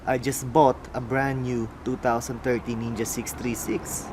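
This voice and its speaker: A young man from the Philippines with a Filipino accent, talking slowly and mumbling a little as he muses aloud in a casual unboxing vlog. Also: medium pitch, level tone, noisy background. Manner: slow, mumbling, musing aloud. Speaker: motorcycle vlogger.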